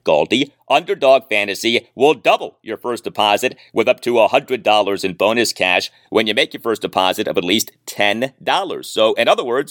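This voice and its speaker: A man's voice: mid-range voice, fairly bright tone, no echo, clean studio sound. An American man hosting a sports podcast, delivering scripted commentary in an emphatic, detail-heavy way.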